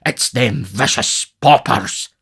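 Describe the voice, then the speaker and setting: A man's voice: on the low side, unhurried, breathy edge. A man voicing a pompous, self-important parish beadle in a storybook, stately, grave and solicitous. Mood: grave, indignant.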